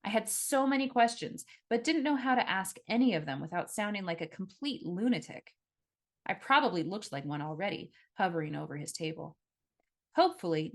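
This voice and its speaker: Lower-pitched woman narrating fiction expressively. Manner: expressive, dramatic storytelling. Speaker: author narrating own novel. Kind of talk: scripted first-person fiction narration.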